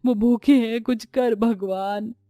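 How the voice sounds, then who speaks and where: Woman acting a grieving ghost mother, cartoon story, soft mellow tone, dry close sound, pleading. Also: fairly high pitch, steady tone, slow, no echo, faint music behind. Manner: pleading, sorrowful.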